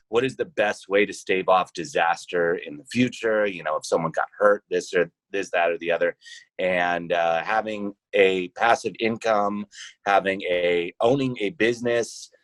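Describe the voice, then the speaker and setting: Low-pitched man answering in an interview. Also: a bit bright, no echo. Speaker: podcast guest, founder of a role-playing podcast.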